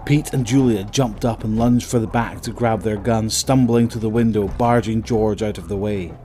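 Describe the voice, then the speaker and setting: A man narrating a horror audio drama from a script in a measured, suspenseful, dramatic way. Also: fairly low pitch, steady tone, dark tone, a little hiss or hum. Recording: voice over prominent music.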